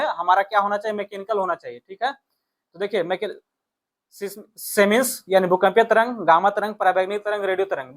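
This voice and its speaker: High-pitched man teaching. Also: fairly bright tone. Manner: instructive, repeats points and reads questions aloud in Hindi and English. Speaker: exam coaching teacher. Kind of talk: explanatory test-prep lesson.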